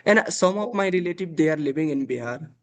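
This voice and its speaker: A young man talks in a casual English practice conversation. His voice sits a little high for a man, and he works through his sentences with lots of fillers and restarts. He sounds like he is on a video call. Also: animated, quick pace. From Nepal. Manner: hesitant, many fillers and restarts. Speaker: host.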